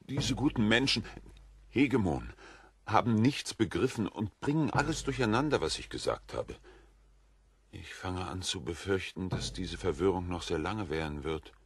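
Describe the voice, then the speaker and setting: An actor playing a gentle, earnest, naive wandering prisoner in an audio drama, speaking plainly. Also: male voice, sits low, expressive, normal speed, slightly rough, full character voice. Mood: earnest, troubled.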